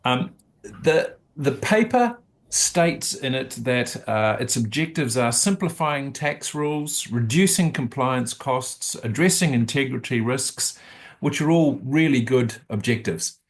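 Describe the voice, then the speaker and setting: A man in his fifties giving a measured, earnest briefing on a video call. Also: medium pitch, lively intonation. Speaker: charity sector commentator. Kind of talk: webinar briefing.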